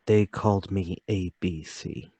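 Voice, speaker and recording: An actor playing a timid, troubled, lonely man confessing to murder in a radio-play table read, halting, pleading and intense. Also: sits low, fairly even intonation, mellow, no room sound. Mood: mournful confession.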